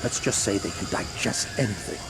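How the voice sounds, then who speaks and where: A gruff veteran game soldier, wary and commanding. The voice is fairly low and gravelly, terse but varied in tone, under loud music. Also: male voice, brisk. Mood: wary, urgent. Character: gruff seasoned military alien guide, wary and commanding. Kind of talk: video game voice acting.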